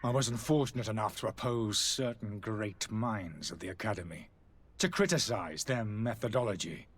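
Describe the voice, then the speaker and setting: An actor playing a disgraced alchemist peddling potions in a video game, theatrical, aggrieved and protesting. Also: male voice, fairly low pitch, lively intonation, moderate pace, slightly rough. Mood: bitter.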